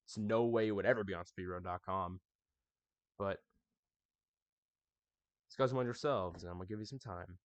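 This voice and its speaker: A man's voice: low voice, normal speed, pauses often, dry recording, muffled recording. A young man hosting his gaming channel, recapping it in a casual, chatty way and talking straight to his viewers. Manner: chatty, addresses viewers as y'all. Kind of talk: casual scripted anniversary recap.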